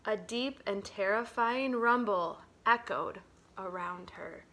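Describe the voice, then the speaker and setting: A woman reading a children's picture book aloud as a teacher would, dramatically, dropping to a hush and swelling for emphasis. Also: mid-range voice, slow, noisy background. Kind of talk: expressive children's storybook read-aloud.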